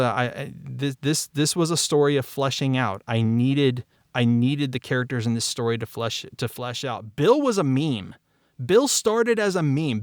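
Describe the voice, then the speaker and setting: Male, middle-aged, mid pitch, smooth tone. Candid creator talk, emphatic, circles back on his words. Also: no room sound, studio quality. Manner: emphatic, repeats and circles back over phrases. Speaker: writer and creator of an audio drama. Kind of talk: cast discussion, candid personal reflection.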